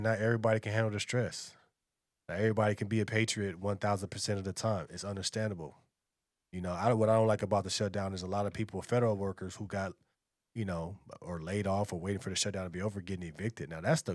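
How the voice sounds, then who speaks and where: American male reaction host: low pitch, dry close sound, colloquial and opinionated. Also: a little mellow, dry recording. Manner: colloquial, slangy, conversational delivery. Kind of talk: casual opinionated news commentary.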